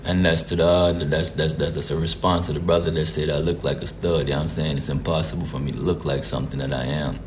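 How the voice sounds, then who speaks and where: A man's voice: very deep voice, steady tone, fast, hardly any pauses, noisy background. A man from Jamaica hosting a casual live stream, greeting viewers by name in a laid-back, repetitive way. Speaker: livestream host.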